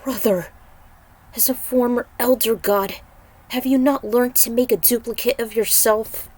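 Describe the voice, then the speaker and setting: A woman's voice: a little high, fairly even intonation, unhurried, darkish tone. A woman playing a concerned wind god and brother in a fantasy story, formal and questioning.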